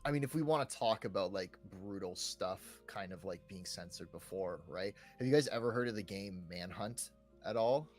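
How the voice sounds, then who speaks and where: Low-voiced man in rambling casual podcast chat. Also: lively intonation, moderate pace. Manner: informal, peppers talk with "like", "right", "you know". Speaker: podcast co-host discussing video games. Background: background music.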